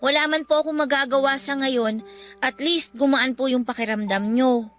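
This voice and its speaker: High-voiced, earnest young child played by a woman. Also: steady tone, normal speed, fairly bright tone. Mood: reassuring, earnest. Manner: polite, earnest, coaxing. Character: caring, earnest, mature-minded young child. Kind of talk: Tagalog radio drama acting. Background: background music.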